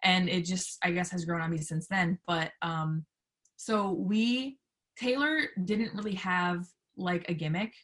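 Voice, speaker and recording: A woman chatting casually as an interview guest, animated and playful, swearing freely as she tells anecdotes. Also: fairly low pitch, a little slow. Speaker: guest, professional wrestler being interviewed.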